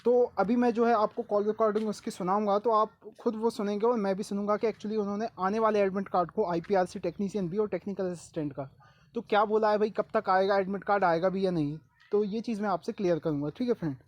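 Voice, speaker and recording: A man's voice: high-pitched, fairly even intonation, quick pace. A man from Madhya Pradesh hosting an informal explainer for students, speaking familiarly with frequent check-in tags. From Madhya Pradesh, India. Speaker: exam-update video host.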